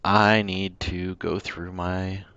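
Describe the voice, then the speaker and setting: A man's voice: deep voice, little pitch movement, takes it slowly, bright, forceful tone, smooth, highs cut off. A man giving casual, laid-back, wry commentary as he livestreams a video game, narrating what he does. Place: indoors at a computer. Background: game music.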